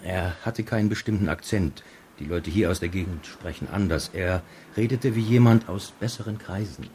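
An actor playing a reserved drugstore owner who answers questions tersely and deliberately in a radio crime drama. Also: male voice, low-pitched, warm tone, a little hiss or hum, lightly acted. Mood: reserved, matter-of-fact. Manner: laconic, deliberate.

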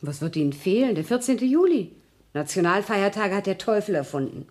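An actress playing a cynical, weary working-class maid in a 1970s radio play, grumbling in an earthy, sardonic way. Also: female voice, medium pitch, lively intonation, brisk, darkish tone, lightly acted.

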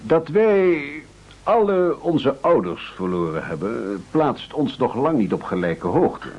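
A man's voice: mid-range voice, very animated, slow, a little mellow, dull audio. An actor in a radio play playing a pompous, scheming male leader of hungry castaways, speaking in formal, rhetorical sentences. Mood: pompous, accusing.

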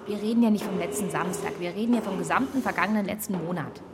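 Insistent female police investigator, medium pitch played by an actress. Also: quick pace, very noisy, natural voice. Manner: pressing, direct questioning. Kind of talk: naturalistic radio crime drama acting.

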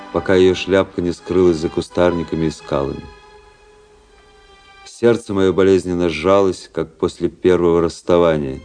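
Guy acting deep brooding officer type, proud and jaded, theatrical radio play delivery, music behind him. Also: a little mellow, lightly acted. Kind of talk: Russian radio drama acting and narration.

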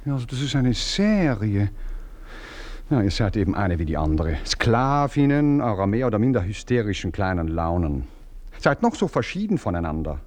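Hotheaded husband in a radio play, lowish voice that swoops up and down. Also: male voice, very animated, normal speed, darkish tone. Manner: indignant, swings between scorn and pleading. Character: impatient, jealous husband given to outbursts.

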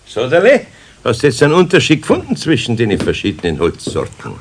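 An actor playing a good-natured older master carpenter with a Bavarian accent, homely and wryly strict, in a children's radio play. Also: male voice, medium pitch, huge pitch swings, noisy background. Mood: wryly stern. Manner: homely, unhurried, wry. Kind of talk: 1970s German children's radio play acting.

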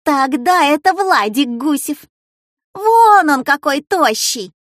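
A woman voicing an excitable, loud schoolgirl in a children's story, calling out in exclamations. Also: high voice, slow, no echo, clean studio sound, voice pushed up. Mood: excited. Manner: shouting, exclamatory. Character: excitable loud schoolgirl, eager know-it-all classmate.

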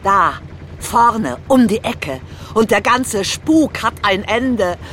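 A woman's voice: medium pitch, animated, slow pace, a bit bright. A woman playing a defiant crusading journalist who poses as a baroness, theatrical and indignant in her delivery. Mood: grandly triumphant. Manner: theatrical, indignant, grandiose declaiming. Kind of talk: dramatic stage-like acting. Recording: clear background noise, vehicle-like ambience.

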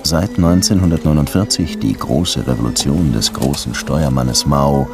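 A world-weary detective in a radio play. The voice is very low, measured and dry, dogged yet courteous, with faint music behind it. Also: male voice. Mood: reflective. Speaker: lead detective and first-person narrator. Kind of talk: radio drama dialogue and narration.

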